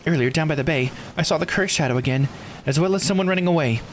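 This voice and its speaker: A man voicing a calm, earnest, reassuring young woman, reading dialogue from a video game. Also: a little high, quick pace, a little hiss or hum, voice pushed up, natural voice. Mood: earnest, concerned.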